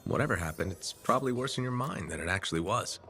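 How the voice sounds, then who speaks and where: An actor voicing a supportive video game freelancer hero in a plain-spoken, measured, reassuring way. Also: male voice, lowish voice, lively intonation, pushed and bright. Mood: concerned. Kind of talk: video game character dialogue.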